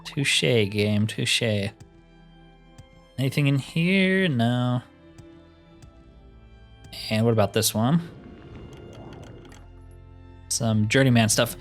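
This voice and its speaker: A man's voice: medium pitch, extremely slow, long pauses. A man provides casual gaming commentary in a mid-range voice. He chats and thinks aloud as he plays, with faint game music running underneath. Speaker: gaming YouTuber playing a fantasy roleplay game. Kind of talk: casual let's-play commentary.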